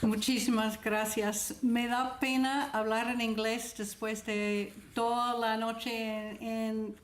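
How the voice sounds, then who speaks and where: A woman's voice: on the high side, level tone, slow. A woman from Ohio giving a gracious acceptance speech. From Ohio, USA. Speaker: award recipient. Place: event hall.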